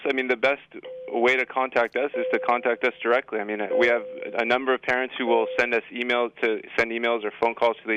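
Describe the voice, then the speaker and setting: A man with a forceful, crisp voice answers radio questions as a school administrator over a slightly dull line. His delivery is direct and reassuring, and fairly even in tone. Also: mid-range voice, dry recording. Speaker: high school assistant principal. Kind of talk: radio interview by phone.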